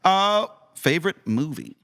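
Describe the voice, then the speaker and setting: A man with a bright, crisp-edged voice hosts a casual interview. His pitch moves around a lot as he asks questions, informal and enthusiastic. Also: sing-song, measured pace. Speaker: podcast host, interviewer. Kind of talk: casual chat, asking questions.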